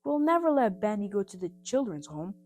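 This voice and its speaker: A woman's voice: mid-range voice, very animated, brisk, a little mellow, lightly acted. A woman voicing an earnest, plain-spoken, polite orphan boy, an older brother, in a storybook reading. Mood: resolute.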